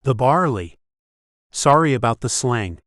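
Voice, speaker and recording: A man's voice: medium pitch, expressive, a little slow, fairly bright tone, dry recording, studio quality, voice pushed up. A man voicing a hesitant young new hire. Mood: polite. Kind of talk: audiobook character voice.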